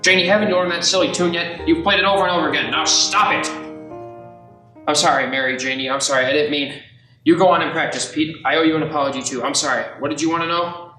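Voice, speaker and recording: A man's voice: on the high side, fast talker, bright, forceful tone, soft background music. A man playing a harried, short-tempered family man in a radio play, agitated and urgent. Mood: apologetic. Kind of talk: audio-only radio play acting. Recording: echoey room, faint noise.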